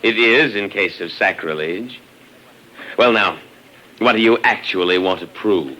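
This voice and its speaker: Pompous, forceful imperial governor. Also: male voice, fairly low pitch, measured pace, poor audio. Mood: dismissive. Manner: pompous, dismissive, lordly. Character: condescending male imperial governor, soldierly, scornful of Earthmen. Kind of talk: 1950s radio drama acting. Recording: old radio recording with faint hiss.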